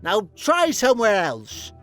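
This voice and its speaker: A man's voice: high voice, huge pitch swings, a little slow, a bit bright, soft background music, heavily acted. A man voicing a grumpy, bossy old spider-limbed boiler man who barks irritable orders, in a cartoon style. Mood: scolding. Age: older. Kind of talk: amateur cartoon voice-acting demo.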